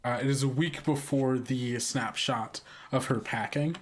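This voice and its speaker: Slow, measured man as game master. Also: medium pitch, fairly even intonation. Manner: casual, measured, pausing between phrases. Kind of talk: narrating a tabletop role-playing game.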